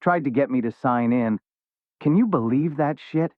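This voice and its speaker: An actor playing an impatient, calculating enforcer in a noir audio drama, irritated and coarse, heard muffled through a filter. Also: male voice, mid-range voice, moderate pace, no echo. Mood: coarse, dismissive. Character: impatient, calculating, menacing male enforcer and uncle. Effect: muffled phone-like filter. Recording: muffled, thin phone-like band.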